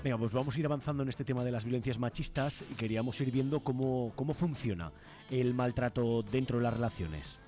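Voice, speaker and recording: A man's voice: mid-range voice, fairly quick, mellow, soft background music. A man from hosting a radio discussion in a conversational, moderating way. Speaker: radio host.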